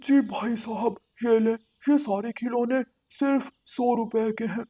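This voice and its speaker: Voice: medium pitch, slow, warm tone, breathy edge. A performer voicing a wry male owl who deals in junk, grumbling and selling in a moral-story cartoon. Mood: obliging.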